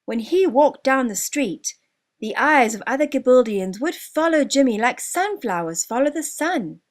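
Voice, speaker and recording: Female children's story narrator: high voice, very lively intonation, expressive and deliberate scripted read. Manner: expressive, deliberate storybook delivery with drawn-out words. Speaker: author narrating own story.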